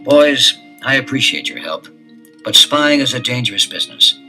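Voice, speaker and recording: A man playing a measured detective father. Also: medium pitch, expressive, sharp tone, faint music behind, poor audio. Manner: measured, authoritative. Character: cautious authoritative detective father. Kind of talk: 1970s children's audio drama.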